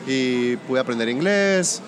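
A man from Colombia with a Colombian-Venezuelan border accent, a music producer talking through his work in a relaxed interview, pausing and restarting his sentences. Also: medium pitch, noisy background. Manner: thoughtful, restarts often. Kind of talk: casual interview conversation.

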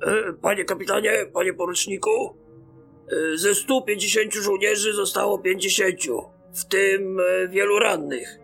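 Man acting a high, creaky, deferential soldier. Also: steady tone, normal speed, fairly bright tone, faint music behind. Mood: hesitant. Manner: hesitant, deferential. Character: nervous dutiful male ensign reporting to officers. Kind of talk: fantasy audio drama acting.

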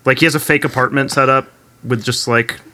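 Male podcast host, bright forceful tone, casual plot recapper, swears freely. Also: medium pitch, steady tone, fairly quick. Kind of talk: casual chat recapping a TV series.

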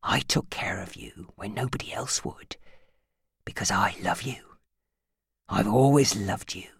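A woman voicing a brutal, controlling man in an audiobook, menacing, moving between hard barks and hoarse, harsh murmurs. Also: extremely low pitch, unhurried, slight background noise, voice pushed down, exaggerated voice. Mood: possessive, menacing. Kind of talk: audiobook character acting.

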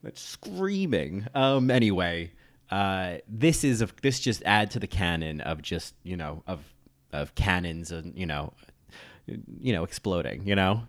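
A man's voice: deep voice, animated, measured pace. A man in his thirties hosting a solo podcast, chatting in a rambling way with lots of ums and restarts. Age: 30s. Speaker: podcast host. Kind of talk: casual solo podcast chat.

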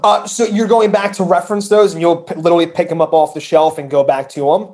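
A man's voice: on the high side, steady tone, quick pace, a little mellow. A man with a northern New Jersey accent, hosting a casual interview over a video call, with frequent fillers and restarts. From northern New Jersey, USA. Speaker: podcast host.

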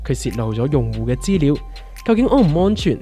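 A man with a Hong Kong accent playing an excitable, teasing veteran small investor in a comic radio drama, with slangy, theatrical delivery. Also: medium pitch, fairly quick, faint music behind, natural voice. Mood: explaining. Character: excitable male veteran retail stock trader, teasing know-it-all.

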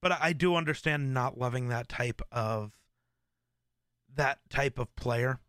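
Smooth-voiced male streamer, rambling chat. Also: medium pitch, measured pace, dry recording, clean studio sound. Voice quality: relaxed, smooth voice. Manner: rambling, with many fillers and restarts. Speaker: streamer host. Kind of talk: casual live-stream chat.

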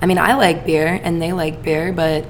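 A raspy-voiced woman co-hosts a casual podcast in a low, flat tone. She chats loosely and leans on "like" and "um" between thoughts. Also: normal speed, a little hiss or hum. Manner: chatty, filler-heavy with lots of "like". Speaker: podcast co-host.

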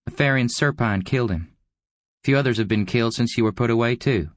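A man's voice: medium pitch, fast talker, dry recording, clean studio sound, voice pushed up, natural voice. A man voicing a cocky, taunting killer-for-hire in an audiobook. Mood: casual, informative. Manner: casual, taunting. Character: cocky, mocking male killer-for-hire with easy drawl. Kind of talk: audiobook character acting.

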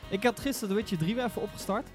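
A young man chatting casually and haltingly on a podcast panel. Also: high voice, faint music behind. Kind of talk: casual chat.

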